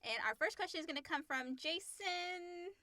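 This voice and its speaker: A woman's voice: very high-pitched, moderate pace, sharp tone, no echo. A woman co-hosting a podcast, chatting casually and reading questions aloud.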